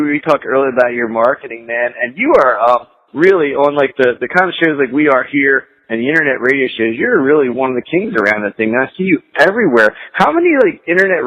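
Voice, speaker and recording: A man's voice: mid-range voice, fairly bright tone, dull audio. A man hosting an internet radio interview, upbeat and chummy with his guest. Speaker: radio show host. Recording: radio broadcast.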